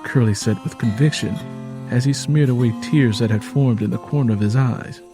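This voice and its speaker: Gravelly male storyteller over faint guitar. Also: medium pitch, moderate pace. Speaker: story narrator. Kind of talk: dramatic fiction reading.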